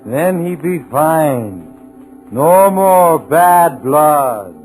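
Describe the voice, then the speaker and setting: A man's voice: a little high, lively intonation, very slow, a little mellow, poor audio. A man playing a simple-minded male killer in an old radio drama, talking in broken, droning repetitions. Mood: menacing. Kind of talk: 1940s radio drama acting. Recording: old radio recording with music behind.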